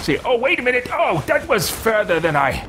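A man's voice: very high-pitched, very animated, brisk, background noise, voice pushed up. A man in his thirties voicing a pompous, scheming mage who mutters grandly to himself, improvising fantasy video game role-play. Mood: musing, hesitant. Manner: theatrical, grandiose, muttering with hesitations. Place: small indoor room with shelves.

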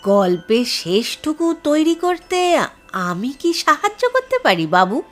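A mournful, humble ghost of a temple priest played by a woman in an audio horror story. The words come extremely slowly and haltingly, with pitch that sways widely. There is an eerie, obsequious air to the drawn-out phrases. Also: very animated, soft background music, exaggerated voice. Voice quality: melancholy tone.

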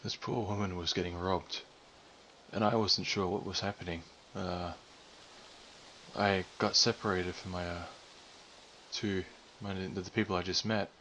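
A man telling a story as commentary over game footage, halting and hesitant as he goes. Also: low-pitched, fairly even intonation, sharp tone, a little hiss or hum. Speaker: narrator, gamer.

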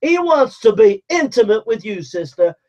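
A man with a high voice preaches hellfire, scolding and urgent, driving his points home through relentless repetition. The recording sounds muffled and lo-fi. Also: sing-song, moderate pace, darkish tone. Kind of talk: fiery confrontational sermon.

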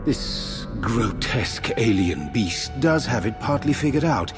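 A scheming villain in a video game, condescending and theatrical, his pitch rising and falling expressively. Game music swells behind him. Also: expressive, unhurried. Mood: contemptuous. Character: smug, scheming male villain leader.

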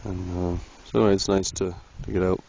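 A man casually narrating an ice-fishing trip, halting with many fillers, recorded outdoors on a frozen lake. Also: low voice, little pitch movement, gravelly, a little mellow. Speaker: outdoor vlogger. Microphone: handheld camera mic. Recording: wind noise on a lo-fi camera mic.

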